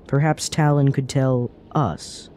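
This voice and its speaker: An actor playing a thoughtful, questioning adventurer in a fantasy radio drama, earnest and persuasive, with formal phrasing. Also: male voice, mid-range voice, steady tone, takes it slowly, dark tone, lightly acted.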